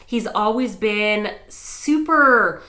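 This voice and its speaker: A woman's voice: a little high, expressive, very slow. An American woman in middle age, talking earnestly and enthusiastically to camera in a personal vlog. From United States. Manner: earnest and enthusiastic, warm storytelling. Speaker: vlogger and news anchor.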